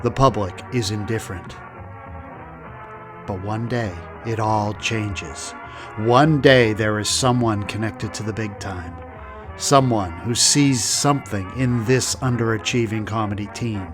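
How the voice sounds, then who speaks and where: A man hosting a radio-history program in scripted documentary narration with measured, dramatic pacing. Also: lowish voice. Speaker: podcast host. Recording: narration over music.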